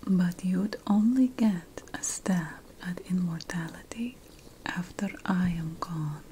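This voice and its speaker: Woman whispering very slowly, ASMR roleplay. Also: on the low side, mellow. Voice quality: breathy, mostly unvoiced whisper. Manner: slow, deliberate, pausing between phrases. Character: playful, self-important ancient witch potion master. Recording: faint background noise.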